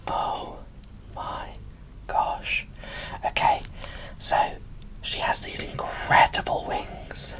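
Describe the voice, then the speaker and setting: A woman whispering casual, gushing ASMR commentary as she presents an unboxing, in a breathy, informal way. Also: drawn-out words, pauses often, a bit bright.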